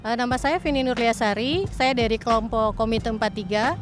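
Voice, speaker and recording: A woman in her fifties, a baker nearing retirement, speaking haltingly with restarts in an interview. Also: on the high side, faint music behind. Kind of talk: interview to camera.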